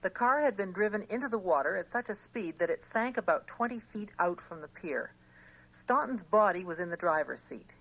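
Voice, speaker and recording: Formal, mid-pitched female news reporter. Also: fairly bright tone, low-quality recording. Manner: formal, factual, clearly phrased. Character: brisk, factual female news reporter on a mystery death. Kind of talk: scripted news report in radio drama.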